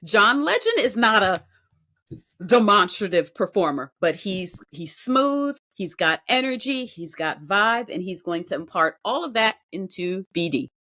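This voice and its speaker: Female podcast co-host, bright and forward voice, emphatic delivery, breaking down performances off the cuff. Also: mid-range voice, big pitch swings, unhurried. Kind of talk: unscripted music show commentary.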